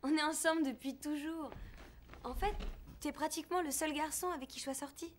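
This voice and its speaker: Woman acting young college girlfriend, French accent, high voice, gentle, candid, faint noise, dubbed film. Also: steady tone, a little fast, close to an everyday voice. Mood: earnest, evasive. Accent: French (France). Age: young adult.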